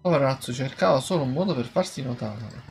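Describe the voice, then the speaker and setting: Female streamer, very deep voice with lively intonation, casual game commentary, faint music behind. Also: fast talker, creaky.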